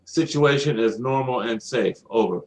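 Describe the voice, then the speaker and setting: A man's voice: medium pitch, animated, normal speed, rough recording. A man making brief, formal procedural radio calls in clipped phrases as a trainee operator.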